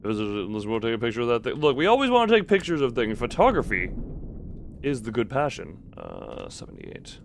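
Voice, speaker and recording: A male game streamer talks to himself with wry, casual commentary, his pitch swinging in a lively way. He mutters plans and figures as he works things out. A clear rumbling game ambience sits behind his voice. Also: fairly quick, background noise. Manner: self-talking, wry, mutters numbers and plans. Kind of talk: casual gaming commentary, thinking aloud.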